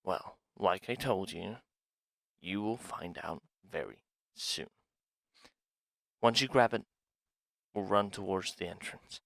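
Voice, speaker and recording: A man playing a sly, scheming dragon in an ASMR roleplay, speaking in a hushed, deliberate, confiding manner. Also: sits low, lively intonation, creaky, no echo, heavily acted. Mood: assured, coaxing. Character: sly, scheming male dragon plotting a museum heist. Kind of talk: ASMR roleplay audio drama, one side of a dialogue.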